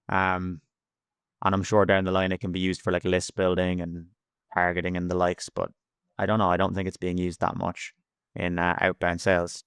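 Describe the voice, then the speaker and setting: Low, flat-toned Irish man. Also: clean tone, no room sound, clean studio sound. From Ireland. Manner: plain-spoken, slangy, blunt. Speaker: podcast guest, freelance cold caller. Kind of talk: casual interview answers.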